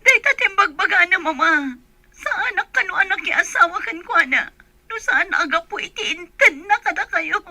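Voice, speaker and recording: A woman's voice: extremely high pitch, expressive, moderate pace, bright, forceful tone, no echo, full character voice. A woman playing an aggrieved young wife in a radio drama. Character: aggrieved young wife chafing at a jealous husband.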